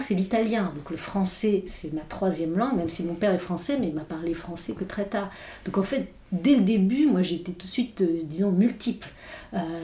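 Hesitant, thoughtful woman with mellow voice. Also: lowish voice. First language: English. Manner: thoughtful, many hesitations and restarts. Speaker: philosopher guest. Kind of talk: reflective interview talk. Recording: roomy echo.

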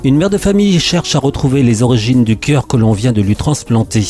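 Male columnist, medium pitch, mellow tone, scripted delivery broken by informal, opinionated remarks. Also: sing-song, fairly quick. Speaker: radio media columnist. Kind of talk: scripted media chronicle with casual asides.

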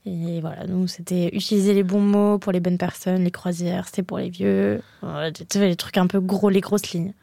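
A woman's voice: fairly low pitch, level tone, normal speed, creaky, hardly any pauses, mellow, no room sound, clean studio sound. A woman in her twenties who grew up in Andorra, a podcast guest talking casually with many hesitations and fillers. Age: 20s. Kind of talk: casual interview chat.

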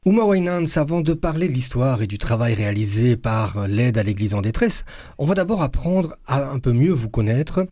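A courteous radio host with a Belgian French accent, his voice mellow and warm. He speaks calmly into a dry studio microphone. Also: medium pitch, normal speed, dry recording. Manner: calm, courteous interviewer. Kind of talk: radio interview.

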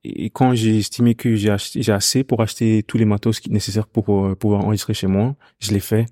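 Mellow-voiced Ghanaian man telling stories. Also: fairly low pitch, quick pace, no room sound, clean studio sound. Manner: relaxed storytelling, many fillers and repeated phrases. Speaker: music artist guest. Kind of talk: casual conversation.

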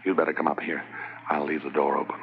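An actor playing a calm, confident undercover policeman in a 1950s radio drama. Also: extremely low pitch, fast talker. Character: undercover Canadian policeman posing as smuggler. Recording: dull old radio transcription, faint hiss.

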